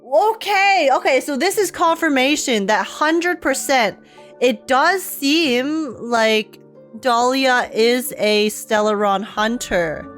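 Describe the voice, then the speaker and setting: A young woman streamer giving casual, animated reaction commentary on a game, slangy and thinking aloud. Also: sits high, slow pace. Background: game music.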